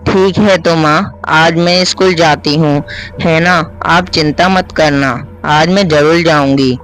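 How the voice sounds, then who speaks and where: A man's voice: a little high, fairly even intonation, slow pace, fairly bright tone, faint music behind, poor audio. A man voicing a hot-tempered, defiant schoolgirl in a spoken story, with a brash, quarrelsome manner. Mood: cheerful. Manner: brash, quarrelsome, pleading when accused.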